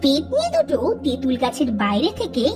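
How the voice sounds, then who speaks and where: A woman's voice: high voice, wildly swooping pitch, a little fast. A woman narrating a scripted cartoon story, recorded slightly distant under music.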